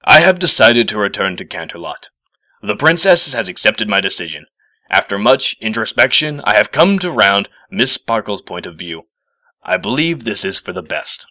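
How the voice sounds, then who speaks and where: A man voicing a stiff male professor pony with a gruff, formal, slightly sheepish manner in a storybook reading. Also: fairly low pitch, sing-song, normal speed, sharp tone, dry recording, full character voice. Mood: solemn.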